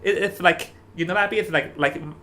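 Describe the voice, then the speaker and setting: A young man from Hong Kong with a Hong Kong accent, chatting casually as an esports commentator, animated and stumbling over restarts. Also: high voice, brisk. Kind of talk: casual stream commentary chat. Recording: faint background noise.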